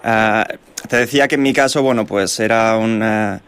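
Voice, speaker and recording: Measured Spanish man on a radio panel. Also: on the low side, fairly bright tone, smooth. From Spain. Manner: measured, thoughtful, with fillers. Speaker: radio guest, returned from Mexico. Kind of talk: casual radio interview.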